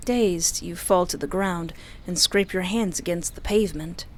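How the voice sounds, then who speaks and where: A woman reading a visual novel aloud as a streamer, with expressive, storyteller-like narration and a playful manner. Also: fairly low pitch, moderate pace, a little hiss or hum. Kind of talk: expressive scripted narration with casual asides.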